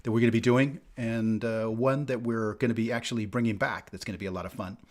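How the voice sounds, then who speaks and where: A man's voice: lowish voice, fairly even intonation, fast. A man co-hosting a podcast in casual, rambling banter, often repeating himself as he goes. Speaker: podcast co-host.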